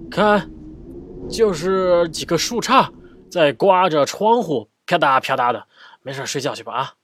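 Woman doing a low-voiced, weary big mouse. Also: animated, a little slow, no echo. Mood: weary reassuring. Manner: grumbling, sighing, matter-of-fact. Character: big grown-up mouse, sleepy, weary, reluctant but patient.

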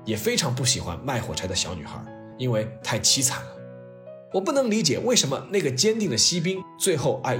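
A man tells history stories as a podcast host in a scripted, reflective style. His mid-range voice rises and falls dramatically as he moves through the narration. Music plays softly behind him. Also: mid-range voice. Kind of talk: scripted narration with piano-backed storytelling and personal reflection. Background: soft piano and orchestral music.

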